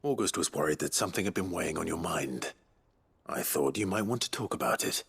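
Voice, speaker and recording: An actor playing an earnest, considerate fantasy game hero, measured and polite with a raspy voice. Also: male voice, lively intonation, a bit bright, full character voice. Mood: concerned, thoughtful. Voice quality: low, husky, raspy. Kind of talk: video game voice acting.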